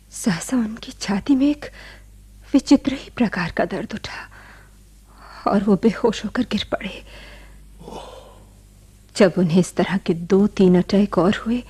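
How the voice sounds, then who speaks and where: Soft husky young wife. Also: female voice, medium pitch. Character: gentle, bashful young village-born wife telling her sad past. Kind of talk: Hindi radio drama acting.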